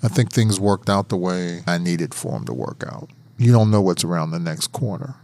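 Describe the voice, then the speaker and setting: A middle-aged man from Pittsburgh, telling his life story in a measured, thoughtful interview. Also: low voice, a little mellow. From Pittsburgh, USA. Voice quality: gravelly. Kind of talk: reflective oral history interview.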